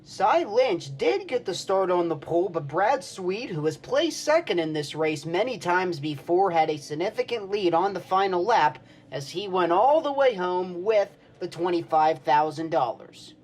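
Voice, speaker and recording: A man's voice: high voice, big pitch swings, normal speed, few pauses, a little mellow. A man working as a sportscaster, delivering play-by-play and scripted reporting.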